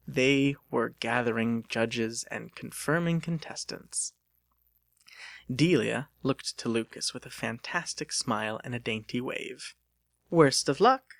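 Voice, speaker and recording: A man reads scripted fiction as a theatrical narrator. His pitch ranges widely and has a creaky edge. The recording is close and dry, suited to an audiobook. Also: a bit bright, no room sound. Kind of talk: dramatic scripted storytelling.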